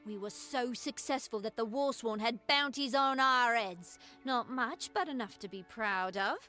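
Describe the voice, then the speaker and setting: A woman's voice: on the high side, a little slow, bright, forceful tone, faint music behind. A woman voicing a sly female con artist and outlaw in a video game, mocking, smug and coolly menacing. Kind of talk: video game villain acting.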